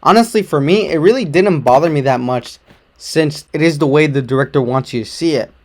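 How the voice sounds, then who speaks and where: A man with a slightly hoarse voice and occasional voice cracks, giving a chatty, rambling movie review at home. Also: a little high, a little fast. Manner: chatty, rambling, enthusiastic opinions. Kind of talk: casual non-spoiler movie review.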